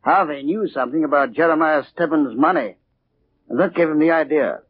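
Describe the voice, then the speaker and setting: An actor playing an ancient, weary sea captain telling a brooding tale in 1940s radio style. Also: male voice, fairly high pitch, slight background noise, natural voice. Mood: brooding, bitter. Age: older. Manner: unhurried, brooding storyteller. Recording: muffled 1940s radio recording.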